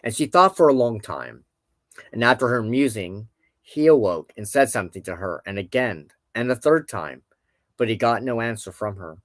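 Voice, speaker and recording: A man's voice: medium pitch, animated, moderate pace, no echo. A man narrating an old legend aloud as a storyteller. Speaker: host, storyteller.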